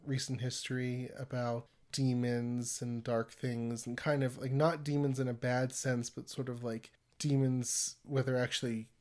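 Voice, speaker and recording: Guy with a stuffy cold voice, rambly podcast chat, gets animated. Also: mid-range voice, unhurried, hardly any pauses. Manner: rambling, animated storytelling with laughs. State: congested from a cold. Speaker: podcast host. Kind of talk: casual conversational podcast.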